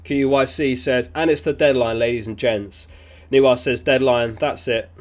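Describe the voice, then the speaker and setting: A man hosting a casual livestream, reading viewer messages aloud in a relaxed, chatty way. He is sniffly and keeps clearing his throat. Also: mid-range voice, moderate pace. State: sniffly, getting over an illness. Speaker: fantasy football livestream host.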